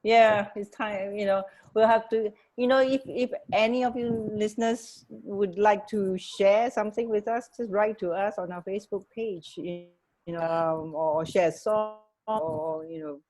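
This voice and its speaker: Halting female radio co-host, mid-pitched. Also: steady tone, darkish tone, low-quality recording. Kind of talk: casual chat.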